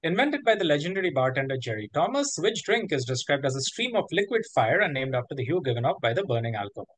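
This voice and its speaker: A man's voice: on the high side, big pitch swings, fast, no echo. A man hosting a quiz, reading out questions and ruling on the answers. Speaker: quiz master.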